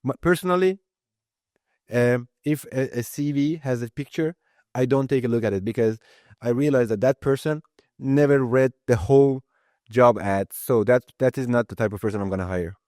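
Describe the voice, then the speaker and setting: Persian-native guy in his 30s, earnest, keeps restarting, mid pitch, dry close sound. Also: moderate pace, a little mellow, dry recording. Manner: earnest, restarts phrases, emphatic. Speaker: guest, IT lead. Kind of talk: casual interview talk.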